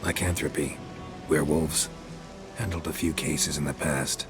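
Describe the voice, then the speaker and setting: Stoic monster hunter, extremely low voice, clipped flat lines, game music behind. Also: male voice, a little slow. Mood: terse. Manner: terse, clipped, flat delivery. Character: gruff male monster hunter, terse and unflappable. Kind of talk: video game voice acting.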